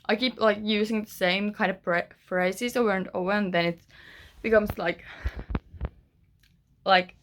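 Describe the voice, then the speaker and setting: A young woman speaks English with a Finnish accent in a loose, chatty vlog update. Her voice sits at an ordinary middle pitch. She rambles and fills pauses with "uh" and "like", often restarting her sentences. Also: steady tone. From Finland. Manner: rambling, many fillers and restarts. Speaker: author vlogger. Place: bedroom.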